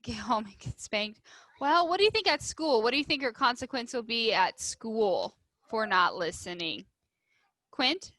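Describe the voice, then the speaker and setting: A woman reads to young pupils in a fairly high, bright voice with a dry, close sound. She is emphatic and instructive, shaping the story expressively as a teacher would. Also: very animated, a little slow, dry recording. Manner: emphatic, instructive, addressing children. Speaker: elementary school teacher. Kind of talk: expressive storybook read-aloud with questions to pupils.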